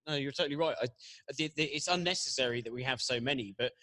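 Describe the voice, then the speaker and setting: Halting man in casual debate. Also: medium pitch, bright, forceful tone, no echo. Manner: halting, stammering with many false starts. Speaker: panel guest who works as a business analyst.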